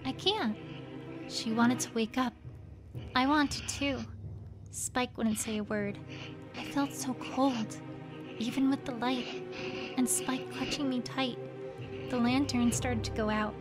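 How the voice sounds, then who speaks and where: A woman voicing a frightened, despairing young pony in an anguished, halting reading of a horror story. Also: fairly high pitch, steady tone. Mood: bleak despair. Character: despairing, frightened young female pony writing her last journal. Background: music.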